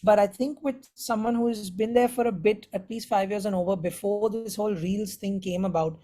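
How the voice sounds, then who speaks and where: A man's voice: high voice, steady tone, normal speed, dark tone. A man giving conversational answers as a musician being interviewed. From India.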